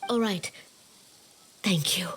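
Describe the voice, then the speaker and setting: An anxious, soft-spoken mother. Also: lowish voice, takes it slowly, a little hiss or hum. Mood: worried, pleading. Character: anxious, pleading mother of a sick child. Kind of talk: scripted video game dialogue.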